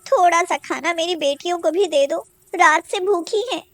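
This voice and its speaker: A woman's voice: very high-pitched, moderate pace, dry recording, pitched above the performer's own voice. A woman voicing a poor, devoted young mother in a cartoon story, earnest and pleading. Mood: humble pleading.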